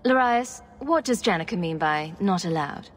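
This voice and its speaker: Casting: female actor as principled, indignant adventurer for fantasy game; direct, challenging, lively intonation. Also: on the low side, moderate pace, slight background noise, natural voice. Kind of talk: fantasy video game acting.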